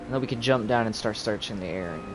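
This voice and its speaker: A man's voice: fairly low pitch, quick pace. A man giving casual live commentary on a video game, thinking aloud as he plays. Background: video game music.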